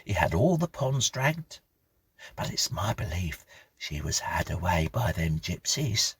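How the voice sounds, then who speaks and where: A man's voice: low-pitched, very animated, unhurried, gravelly, slightly rough, dry recording, heavily acted. A man voicing a comfortable, chatty country housekeeper woman in her fifties, gossiping in rambling rustic phrases for a storybook.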